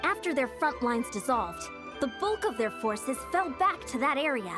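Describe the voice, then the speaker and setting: A woman's voice: sits high, sing-song, normal speed. A woman playing a young, upbeat and composed female warrior strategist in a video game, speaking politely. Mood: pleased, informative. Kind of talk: video game dialogue acting. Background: game music.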